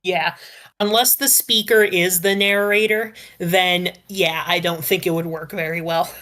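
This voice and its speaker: A woman speaking as a podcast panelist, giving opinions casually in a measured, qualifying way with frequent "like". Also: fairly low pitch, fairly even intonation, a bit bright.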